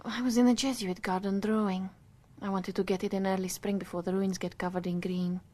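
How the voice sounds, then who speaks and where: A gentle, candid artist wife, played by an actress in a radio drama. She speaks at a middling pitch, recounting things in an intimate, reflective way. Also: flat delivery, a little fast, lightly acted. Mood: intimate, chatty.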